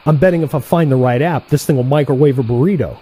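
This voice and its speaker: A man playing a cocky, easily irritated assassin in a comic audio play, complaining in an animated way. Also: medium pitch, fast talker, slightly rough, lightly acted. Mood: smug.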